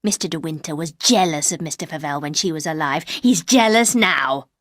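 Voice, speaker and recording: Woman reader doing a harsh, cold older housekeeper; low, slow and accusing, dry close sound. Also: a bit bright, no echo. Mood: scornful.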